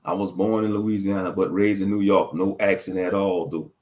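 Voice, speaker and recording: A man's voice: low voice, little pitch movement, normal speed, darkish tone. Rambling, slangy man on a livestream. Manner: loose, rambling, preachy, slangy. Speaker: livestream commentator. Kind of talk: casual livestream talk answering chat.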